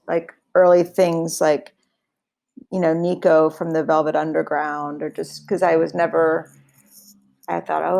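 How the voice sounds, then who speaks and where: Low raspy-edged lady musician chatting, kinda thoughtful, lots of "like" and "you know". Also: darkish tone. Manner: reflective, many fillers like "like" and "you know". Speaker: singer and guitarist. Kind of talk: casual interview chat.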